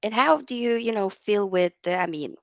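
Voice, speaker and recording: A woman's voice: medium pitch, expressive, moderate pace, creaky, no room sound. A young woman from Norway hosting a live football talk stream, reading viewer comments aloud and laughing often. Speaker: host of a football talk show. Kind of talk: casual live-stream chat.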